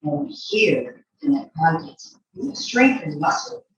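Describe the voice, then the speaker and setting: A woman reading a picture book aloud to a child, expressive, drawing out words and adding chatty asides. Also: sits low, slow, heavy reverb, distant mic, slight background noise, low-quality recording.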